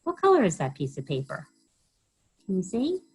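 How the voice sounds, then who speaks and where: A woman reading a children's story aloud as a library storyteller, expressive and often pausing to put questions to young listeners. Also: fairly low pitch, breathy edge.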